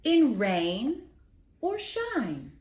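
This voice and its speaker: A woman reading a children's picture book aloud in an expressive, theatrical way, with dramatic pauses. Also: a little high, a little hiss or hum. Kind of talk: children's story read aloud. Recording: roomy echo.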